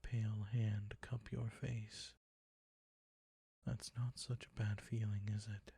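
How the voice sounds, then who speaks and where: Male actor as older vampire count, possessive and condescending, dark mellow tone, close dry sound, theatrical formal phrasing, whispery roleplay. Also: no echo, full character voice. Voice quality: husky, breathy, low. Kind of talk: intimate scripted roleplay audio, ASMR-like.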